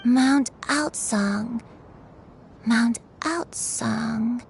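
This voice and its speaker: Gentle confused lady w lost memory, high voice, really slow n hesitant, video game. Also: slight background noise. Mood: wistful. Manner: hesitant, halting, softly troubled. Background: game music.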